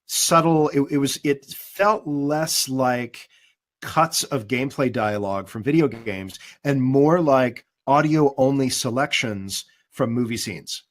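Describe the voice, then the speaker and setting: Man, mid-pitch, easygoing guest, thoughtful, plenty of ums, video call. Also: slow. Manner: thoughtful, relaxed, with many fillers. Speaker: guest voice actor and demo producer. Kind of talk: casual webinar chat.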